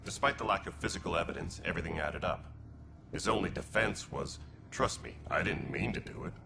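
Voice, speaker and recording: Casting: a pragmatic alien militia leader in a game, deep and gravelly, clipped matter-of-fact soldier. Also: male voice, fairly quick. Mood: stern, dismissive. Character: gruff alien male militia commander, dutiful and pragmatic. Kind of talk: video game cutscene acting. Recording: loud background noise.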